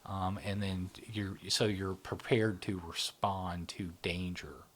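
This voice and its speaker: A middle-aged man explaining psychology in a relaxed interview, often checking in with "right?". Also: low-pitched, slow pace, slight background noise. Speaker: psychology channel founder, explaining. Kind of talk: explanatory conversation.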